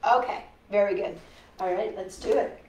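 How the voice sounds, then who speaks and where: A woman's voice: medium pitch, normal speed, a little mellow, slight background noise. A woman hosting a storytime for young children, speaking and reading in a playful, sing-song manner and often putting questions to her listeners. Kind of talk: reading picture books aloud and chatting to young children. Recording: roomy echo.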